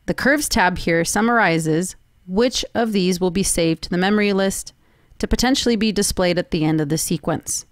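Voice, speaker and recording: A woman narrating a scripted technical seminar in a measured, formal explaining manner. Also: fairly low pitch, no room sound, clean studio sound. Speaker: presenter of a technical training seminar.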